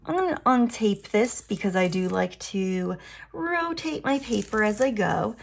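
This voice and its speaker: Woman art teacher, raspy with gravelly fry, relaxed live drawing demo narration. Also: mid-range voice, a little slow. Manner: relaxed, thinking aloud while drawing.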